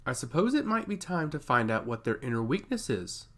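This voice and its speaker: A man voicing a calculating fantasy lord in an audiobook, commanding, measured and formal. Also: mid-range voice, very animated, a bit bright.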